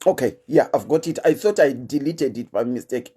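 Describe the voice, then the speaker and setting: A woman's voice: extremely low pitch, moderate pace, slightly rough. A woman with a Zimbabwean accent, hosting her show in a chatty, casual monologue, telling listeners her news with lots of "eh" fillers. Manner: animated, chatty storytelling with frequent "eh" fillers. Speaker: host.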